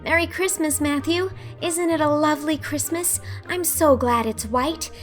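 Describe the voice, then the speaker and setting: A woman's voice: high-pitched, normal speed, faint music behind, pitched above the performer's own voice, lightly acted. A woman voicing a chatty, dreamy orphan girl who gushes effusively. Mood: gleeful. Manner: gushing, effusive.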